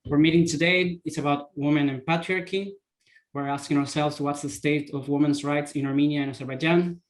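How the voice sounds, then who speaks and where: A man from Argentina, co-founder and co-host, opening an online meeting over a video call, halting with fillers and restarts. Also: a little high, fairly even intonation. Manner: hesitant, with many fillers and restarts.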